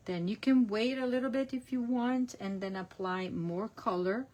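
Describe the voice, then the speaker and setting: Slow-talking Italian-accented woman crafter. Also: lowish voice, a little mellow. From Italy. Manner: chatty, narrates each step, self-correcting. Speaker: crafting demonstrator. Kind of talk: casual online craft class.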